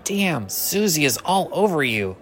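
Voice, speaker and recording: A man plays an eager teenage boy in an audio drama. His voice sits a bit high and jumps around in lively fashion. He comes off as brash and slangy, yet bashful underneath. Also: unhurried. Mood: teasing. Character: eager, bashful ninth-grade boy, brash best buddy.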